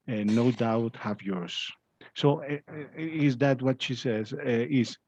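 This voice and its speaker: A man's voice: mid-range voice, darkish tone, no room sound, lo-fi sound. A man teaching a class, leading discussion in an effusive, encouraging way and often repeating his praise. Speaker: language teacher hosting a reading activity.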